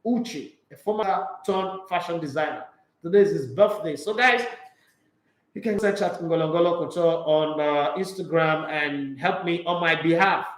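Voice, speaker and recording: A man hosting a sports talk show, reading news and viewer comments with animated, opinionated asides. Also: on the high side, moderate pace, echoey room, low-quality recording.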